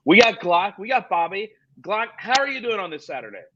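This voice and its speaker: A man hosting a sports fantasy-betting show with upbeat, energetic delivery. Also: sits high, brisk, background noise. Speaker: podcast host. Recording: dull lo-fi sound.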